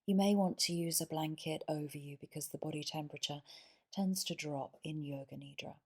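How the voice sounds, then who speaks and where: A woman leading a guided yoga nidra meditation in a soothing, measured way, pausing between short phrases. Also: sits low, a little mellow.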